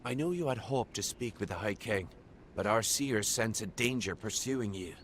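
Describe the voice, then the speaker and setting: An actor playing a courtly, aloof Fey gatekeeper in a fantasy game, speaking formally and courteously. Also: male voice, fairly low pitch, expressive, normal speed, a little hiss or hum, close to an everyday voice. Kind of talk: fantasy video game dialogue.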